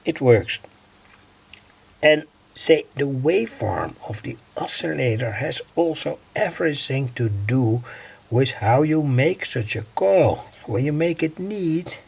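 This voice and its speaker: Slow, gravelly man explaining radio coils. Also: mid-range voice, darkish tone. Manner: slow and halting, many "uh" pauses. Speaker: radio hobbyist presenting his own channel. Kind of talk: unscripted hands-on demonstration.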